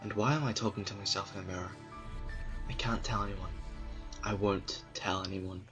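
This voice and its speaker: Troubled young woman, anxious and pleading, raised pitch as a male narrator puts her on, music behind. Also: fairly even intonation, moderate pace, natural voice. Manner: anxious, pleading monologue to herself. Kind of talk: fan audio drama reading.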